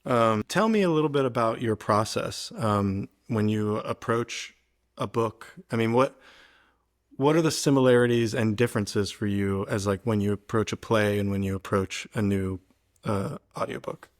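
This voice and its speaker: A man's voice: on the low side, moderate pace. A man hosting a podcast, asking loose, searching questions with fillers. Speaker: podcast host. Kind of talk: interviewing in a podcast.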